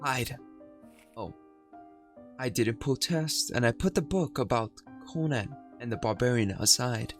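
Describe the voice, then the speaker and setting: Brief: male streamer, dramatic storytelling read, unhurried, soft music behind. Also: mid-range voice. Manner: slow, dramatic storytelling reading. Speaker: gaming streamer. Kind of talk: reading a horror game's story aloud.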